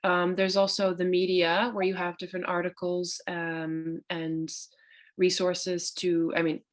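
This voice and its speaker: Female panel moderator, lower register, warm enthusiasm for the guest's work. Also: completely flat delivery, a little slow. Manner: enthusiastic, encouraging. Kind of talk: panel discussion.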